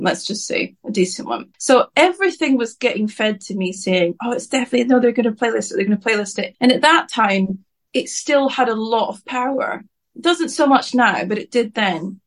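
A woman's voice: a little high, animated, a little fast. Outspoken woman with a rambling delivery. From Scotland. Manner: outspoken, rambling, self-interrupting. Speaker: singer-songwriter guest. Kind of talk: candid interview answers.

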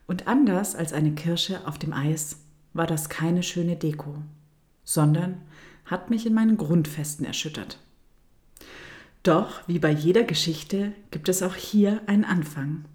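A woman with a German accent hosting her own podcast, telling her personal story in a soft, intimate voice that often drops close to a whisper. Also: fairly low pitch, measured pace. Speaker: podcast host, dance teacher. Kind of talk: storytelling monologue.

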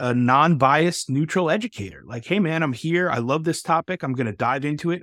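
A man's voice: medium pitch, fairly bright tone, dry recording, studio quality. Enthusiastic male podcast host. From Texas. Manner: enthusiastic, uses filler uh. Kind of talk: casual interview hosting.

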